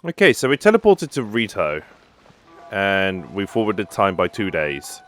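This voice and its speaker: A man's voice: low-pitched, sing-song, measured pace. A man giving casual, off-the-cuff commentary as he plays a video game. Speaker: gaming YouTuber. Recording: voice-over with faint game music.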